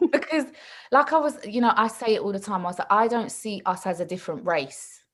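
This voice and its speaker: A woman born in England, a mother talking in a casual, animated way with lots of asides and restarts. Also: mid-range voice, a little fast. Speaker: mother and podcast guest. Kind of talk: casual conversational chat.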